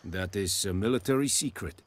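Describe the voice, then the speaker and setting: A man playing a stern foreign army commander in a video game, speaking English as a second language in a formal, measured way. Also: lowish voice, natural voice. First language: not English. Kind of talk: video game dialogue acting.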